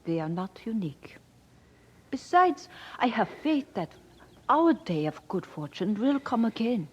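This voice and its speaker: A gentle peasant mother, reassuring, husky voice, lively pitch. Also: unhurried, muffled recording, heavily acted. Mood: tender. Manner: soft and reassuring. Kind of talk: radio drama acting.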